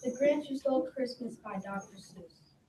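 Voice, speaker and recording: A young girl reading scripted storybook narration aloud for a readers theater, a little halting, with stumbles and repeats. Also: a little high, mellow. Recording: echoey room, distant mic, background noise.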